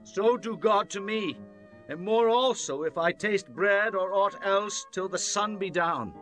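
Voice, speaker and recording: Man acting a high, forceful biblical king. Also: unhurried. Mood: grieving, grave. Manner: solemn, impassioned, archaic declamation. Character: King David, grave, grieving, commanding biblical king. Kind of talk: dramatized scripture, declamatory radio-play acting. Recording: music bed behind the voice. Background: instrumental music.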